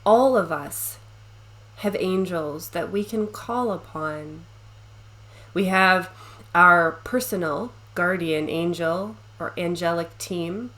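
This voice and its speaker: A woman leading a guided meditation, speaking gently and soothingly with long pauses between short phrases. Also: on the low side, low-quality recording.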